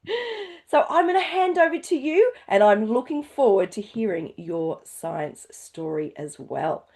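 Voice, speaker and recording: A woman with a medium-pitched, animated voice hosts an online session. She talks informally through housekeeping and introductions, her intonation moving up and down freely. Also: a little slow.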